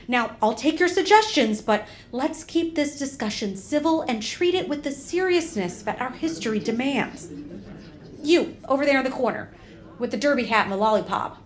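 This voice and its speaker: A woman playing a brisk, bossy, businesslike small-town council representative in an audio comedy. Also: sits high, expressive. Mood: officious.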